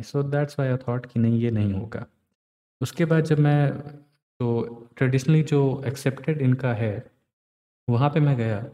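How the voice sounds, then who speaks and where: Man, astrologer teaching, mellow voice, long reverb, on words. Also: medium pitch. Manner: explanatory, mixes English phrases into Hindi. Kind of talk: screen-recorded chart analysis. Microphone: voice-over on screen recording.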